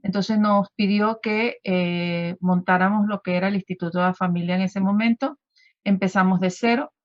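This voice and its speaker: A woman's voice: medium pitch, steady tone, moderate pace, no echo, muffled recording. A woman in her fifties with a Venezuelan accent, a guest talking in a conversational radio interview with many fillers and restarts.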